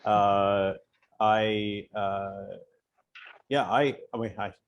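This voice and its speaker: A man's voice: fairly low pitch, fairly even intonation, drawn-out words, lo-fi sound. A middle-aged man from New Zealand on an online panel, telling his career story in a joking, self-deprecating way. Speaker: colorist panelist. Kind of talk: casual panel talk.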